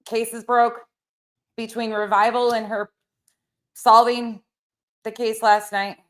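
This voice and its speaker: A woman's voice: a little high, level tone, slow pace. A woman hosting reaction commentary, sarcastic and profane, with theatrical, mocking delivery. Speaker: commentary channel host.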